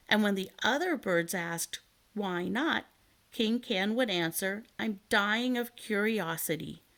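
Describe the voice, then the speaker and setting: A woman's voice: mid-range voice, fairly bright tone. Woman voicing a chatty little canary. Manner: polite, talkative. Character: chatty, worried little male pet canary. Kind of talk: children's storybook read aloud.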